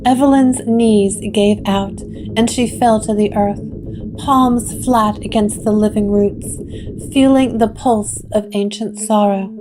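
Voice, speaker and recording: A woman narrating a gothic horror story with measured, atmospheric delivery. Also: mid-range voice, fairly even intonation, low-quality recording. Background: guitar music.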